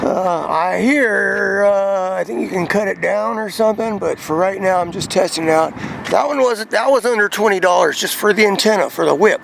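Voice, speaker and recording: High-pitched man with a gravelly creak. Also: normal speed, background noise. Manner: rambling, thinking aloud. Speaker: vlogger demonstrating CB radio antennas. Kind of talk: casual hands-on vlog chat. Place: in and around a parked truck outdoors.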